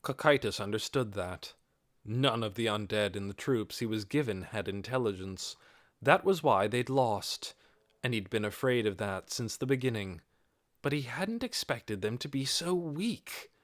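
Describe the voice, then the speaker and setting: A man reading scripted fantasy narration as an audiobook narrator, expressive and dramatic, with measured, deliberate phrasing. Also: on the low side, no echo, studio quality.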